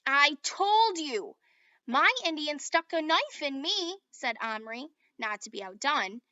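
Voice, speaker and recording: A woman voices an earnest young boy, coaxing, high and bright, dull dry sound. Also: animated, takes it slowly, dry recording, full character voice. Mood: stern.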